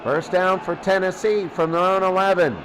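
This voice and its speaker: A man's voice: high-pitched, steady tone, normal speed, noisy background. A man calling American football play-by-play in short clipped phrases, repeating himself for emphasis. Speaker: play-by-play announcer.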